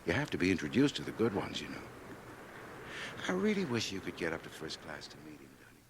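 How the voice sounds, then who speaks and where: An ambitious young man of business, pleased with himself and full of opinions. His pitch moves freely as he holds forth heartily. It is a radio drama from a cassette, with music in the background. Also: huge pitch swings, fast talker, background noise. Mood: smug, enthusiastic. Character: brash, ambitious, self-satisfied rising young businessman.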